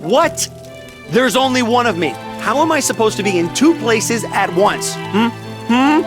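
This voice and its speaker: Brash young ninja, high lively pitch, emphatic, blunt, anime game character. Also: male voice, a little slow, over music. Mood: exasperated. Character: brash, hot-headed but caring young male ninja. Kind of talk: video game voice acting in anime dub manner.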